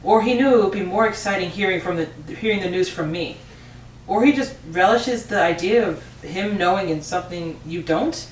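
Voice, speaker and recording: A woman's voice: mid-range voice, normal speed, lightly acted. Woman acting chatty factory boss, roomy distant recording. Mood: chatty, friendly. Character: chatty, friendly female boss of a cloud factory. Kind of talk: amateur script table read. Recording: roomy, distant mic, faint noise.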